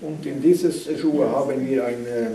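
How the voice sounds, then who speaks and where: Slow mellow-voiced guy translating, lots of pauses, echoey and far from the mic. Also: mid-range voice. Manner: hesitant, many fillers and restarts. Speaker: interpreter. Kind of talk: halting spoken interpreting. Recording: echoey room from far off with faint noise.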